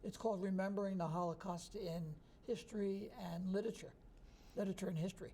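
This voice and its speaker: A man in his sixties from New Jersey, a history professor answering interview questions in a relaxed, thoughtful way. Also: high-pitched, quick pace. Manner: relaxed, thoughtful, chuckles easily.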